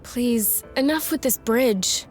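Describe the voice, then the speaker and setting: Game heroine, young and defiant, highish voice, sarcastic and anxious, speaking over music. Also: female voice, slow. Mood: exasperated. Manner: blunt, sarcastic, expressive. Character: feisty, defiant young woman, anxious runaway lover. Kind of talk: video game cutscene acting.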